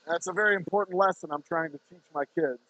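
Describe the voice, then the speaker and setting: Hoarse blunt middle-aged man. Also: fairly high pitch, fast talker, pushed and bright, no echo, muffled recording. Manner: blunt, preachy, swears freely. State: hoarse, sore throat. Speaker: distillery owner. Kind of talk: casual vlog monologue while working. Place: distillery bottling room.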